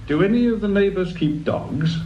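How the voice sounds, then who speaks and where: An actor playing a haughty, evasive British research director in a radio drama, speaking in a formal, condescending way. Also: male voice, high-pitched, animated, moderate pace. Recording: dull radio recording with faint noise.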